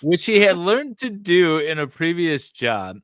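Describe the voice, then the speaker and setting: A man's voice: sits high, big pitch swings, a little slow, smooth, no echo. A middle-aged man casually reading aloud and breaking off for wry, teasing asides as a co-host. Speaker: co-host reading and reacting to a document.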